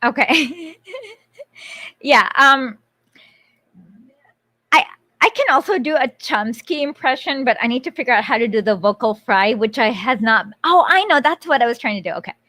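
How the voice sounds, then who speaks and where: A woman streamer talking casually to her viewers in a rambling, quick way, often interrupting herself. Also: a little high, animated, bright, forceful tone, no room sound. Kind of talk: casual livestream chat.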